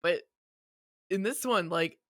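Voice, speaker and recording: A podcast host with a mid-pitched voice, recorded close and dry. The delivery is a casual, wry recap that mixes narration with offhand commentary and some swearing. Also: slow pace, fairly bright tone, no echo.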